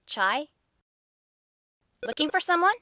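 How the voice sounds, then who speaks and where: A woman's voice: sits high, very animated, takes it slowly, pushed and bright, no echo, studio quality, exaggerated voice. A woman playing a sensible, slightly exasperated schoolgirl mage in a game fan dub. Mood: concerned, wry.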